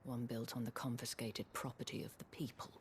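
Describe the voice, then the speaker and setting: An actress playing a worldly, anxious dame in a video game, measured, eloquent and weary, with a breathy, hushed delivery. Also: female voice, very deep voice, level tone, slight background noise. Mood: bitter, resigned. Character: worldly, anxious dame looking after her wards. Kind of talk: scripted video game drama.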